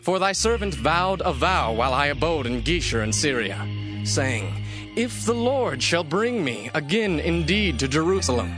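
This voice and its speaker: An actor playing an ambitious, persuasive young prince in a dramatized scripture reading, talking in a smooth, ingratiating way. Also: male voice, fairly high pitch, big pitch swings, moderate pace, over music.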